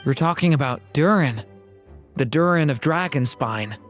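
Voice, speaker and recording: An actor voicing a quiet young adventurer in a video game, who asks brief, earnest questions. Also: mid-range voice, lively intonation, moderate pace, faint music behind, natural voice.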